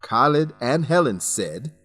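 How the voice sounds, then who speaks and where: A male narrator teaching English vocabulary from a script, with a medium-pitched voice and deliberate pauses. The recording is dry and close, with some music behind him. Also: big pitch swings, fairly bright tone, no echo.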